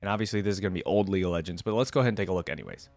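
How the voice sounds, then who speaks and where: A man's voice: low voice, very fast, darkish tone, dry recording. A man hosting a reaction video, chatting casually and thinking aloud in a puzzled way. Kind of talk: casual reaction commentary, some story reading.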